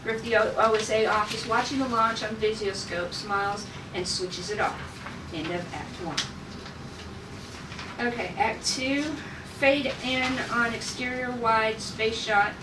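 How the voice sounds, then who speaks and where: A woman narrating stage directions at a script table read, in an informal, halting way. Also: fairly high pitch, fairly even intonation. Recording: echoey room, distant mic, noisy.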